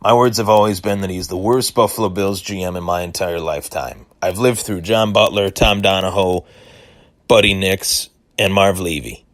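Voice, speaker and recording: A man with a hoarse voice hosting a solo sports podcast, talking in an aggrieved, defensive way with lots of restarts. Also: low-pitched, steady tone, moderate pace, a bit bright, dry recording.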